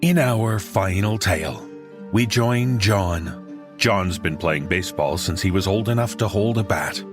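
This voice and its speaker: A man hosting a horror story podcast, reading a scripted introduction in a measured, theatrical manner. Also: lowish voice. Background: music.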